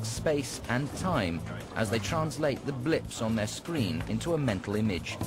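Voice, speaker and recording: A man reading scripted narration for a television documentary. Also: mid-range voice. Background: music.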